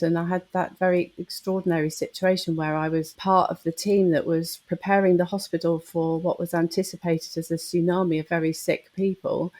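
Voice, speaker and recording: A woman from the south of England who works as a nurse, telling her story in an articulate, measured way. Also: fairly low pitch, a little mellow. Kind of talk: interview testimony.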